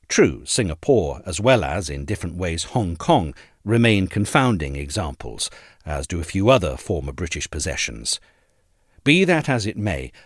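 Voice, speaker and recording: A man reading a formal essay aloud as scripted narration, in a measured, articulate manner. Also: sits low, dry recording, clean studio sound.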